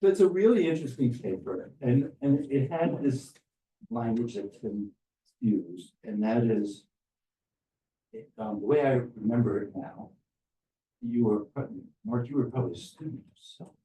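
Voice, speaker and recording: An older man giving informal, rambling remarks to a gathering, often halting and restarting his phrases. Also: medium pitch, lively intonation, dark tone. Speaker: academic philosopher and speaker at a conference. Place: echoey room at a conference. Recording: roomy, distant microphone, faint background noise.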